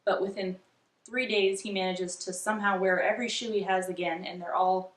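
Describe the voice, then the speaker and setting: A woman's voice: medium pitch, fairly even intonation, brisk. A woman in her thirties chatting casually and giggly in a couple's question-and-answer vlog. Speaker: vlogger mother. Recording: roomy indoor echo.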